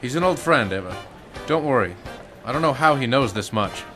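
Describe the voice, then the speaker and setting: A man playing an edgy, defensive ex-Marine turned crook in a detective radio drama, talking in gruff slang. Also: medium pitch, animated, fairly quick, over music, close to an everyday voice. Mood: uneasy, defensive.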